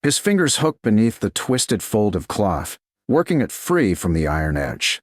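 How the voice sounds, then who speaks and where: A man narrates a romance story in measured, dramatic phrases. His voice sits low, with very animated swings in pitch. The recording is dry and close, with no room sound. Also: no room sound. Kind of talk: scripted audiobook narration of a romance.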